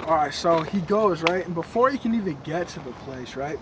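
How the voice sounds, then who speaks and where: A young man telling a creepy story in casual slang, recorded in a dark room on a camera a few feet away. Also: a little high, expressive, brisk. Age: young adult. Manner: slangy, laid-back, joking. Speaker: co-host. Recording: noisy camera audio.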